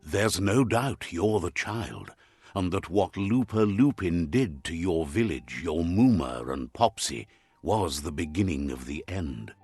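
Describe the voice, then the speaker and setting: A man narrating a game's story calmly, like a storybook, and relaying what a creature says. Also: sits low, a little slow, slightly rough, dry recording, heavily acted. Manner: calm storyteller, translating a creature's speech. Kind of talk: scripted storybook narration for a game. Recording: game audio with faint music behind.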